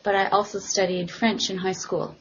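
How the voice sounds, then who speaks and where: A woman's voice: medium pitch, flat delivery, normal speed. A woman from Montreal, an English teacher answering interview questions in a friendly, easygoing way. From Montreal, Canada. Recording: muffled lo-fi recording with faint hiss.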